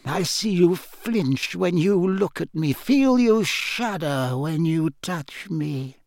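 A man voicing a cold, menacing, frail dark lord in an audiobook, with a breathy, hissing delivery and a slow, imperious, contemptuous manner. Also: a little high, animated, pitched above the performer's own voice. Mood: suspicious, accusing. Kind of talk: audiobook character acting.

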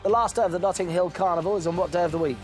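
A man hosting a television quiz show, brisk and teasing with jokes between questions. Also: high-pitched, fairly even intonation, over music.

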